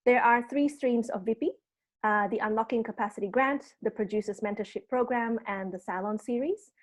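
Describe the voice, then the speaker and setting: A woman in her early thirties, a native Indonesian speaker, hosts an online panel with a fairly high voice. She moves through housekeeping notes in a measured, orderly way, slowing down for clarity. The sound comes from a video call mic. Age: early 30s. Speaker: host and program producer. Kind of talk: hosting a webinar, reading housekeeping notes.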